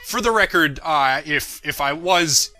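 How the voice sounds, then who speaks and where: A man's voice: on the high side, expressive, a little slow, pushed and bright, soft background music. A man giving casual, unscripted commentary as the creator of a fan parody series, self-deprecating and irreverent, swearing freely and often chuckling as he talks.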